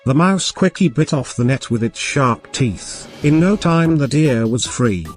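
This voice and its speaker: A man's voice: mid-range voice, expressive, a little slow, faint music behind. A man narrating a scripted children's moral story as storyteller.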